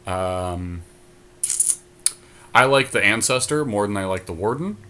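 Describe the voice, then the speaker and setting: A man's voice: on the low side, animated, a little slow, pushed and bright. A man co-hosting a casual card game podcast, thinking his choices through aloud in a conversational way. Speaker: podcast co-host.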